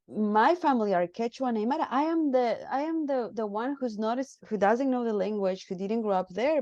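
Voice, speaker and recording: A woman from Peru, chatting as an interview guest, lively and full of fillers and restarts. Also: medium pitch, no echo, highs cut off. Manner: animated, many fillers and restarts. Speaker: guest filmmaker. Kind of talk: casual interview chat.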